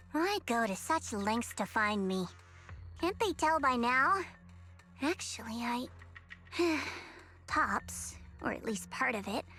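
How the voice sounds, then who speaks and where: A woman's voice: high-pitched, fairly bright tone, heavily acted. An actress playing a cool, aloof young woman fighter in a video game, speaking curtly. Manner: curt, contemptuous.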